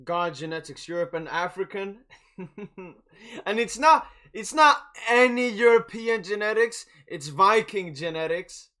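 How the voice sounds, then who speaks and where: A man's voice: high-pitched, expressive, unhurried, pushed and bright. A young man from Sweden and Algeria hosting a football watch-along in casual, boastful, jokey chat. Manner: boastful, jokey, prone to chuckling. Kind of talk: casual livestream chat, match commentary.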